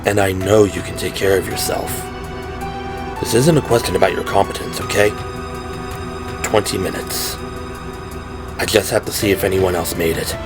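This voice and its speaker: Man playing a low-voiced, protective male officer. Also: steady tone, normal speed, pauses often, close to an everyday voice. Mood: reassuring. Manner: low-key, firm, reassuring. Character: protective, steady young male police officer giving orders. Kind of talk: audio drama character voice. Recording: music behind the voice.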